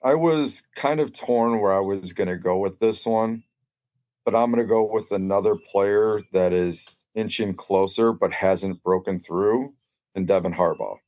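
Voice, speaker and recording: A man's voice: lowish voice, a little slow, a little mellow, no room sound. A man talking casually as a guest on a sports podcast, reflecting as a former competitor.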